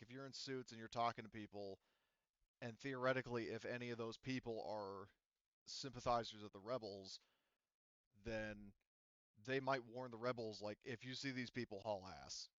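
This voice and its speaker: A man talks as a game master in a casual, roundabout way, hedging as he explains options. His voice is medium-pitched, and the sound is dry and a little muffled, like online voice chat. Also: fairly bright tone, smooth, no room sound. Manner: rambling, hedging explanations full of "like" and "you know". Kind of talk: casual tabletop game discussion.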